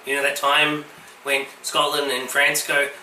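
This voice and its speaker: Very bright-voiced man, getting over a virus. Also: a little high, monotone, measured pace. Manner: dry quips, hesitant ums. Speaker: podcast co-host. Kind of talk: casual comedic chat. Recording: echoey room, distant mic, faint hiss.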